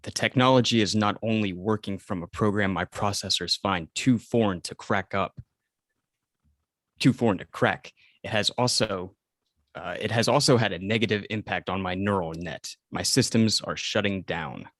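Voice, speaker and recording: A man voicing a calm alien robot companion in a formal, deadpan manner at an amateur table read. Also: fairly low pitch, moderate pace, no room sound, studio quality, close to an everyday voice. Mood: weary, matter-of-fact.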